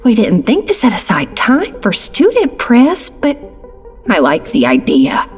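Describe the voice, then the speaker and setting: A woman's voice: on the high side, huge pitch swings, a little slow, darkish tone. An actress plays a gushing receptionist in an audio drama, cooing and over-apologetic. Her voice swings dramatically up and down and rings with long reverb, with faint music behind. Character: gushing, polite, slightly condescending female receptionist. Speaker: voice actor. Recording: long reverberant lobby echo.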